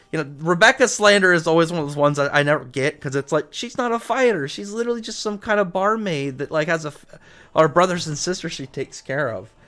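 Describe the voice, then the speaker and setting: A man's voice: a little high, fairly bright tone. A man hosting a livestream, chatting casually with viewers in a rambling, repetitive way full of asides. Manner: rambling, jumps between asides, repeats himself. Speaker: livestream host. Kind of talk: casual chat with viewers, reading comments.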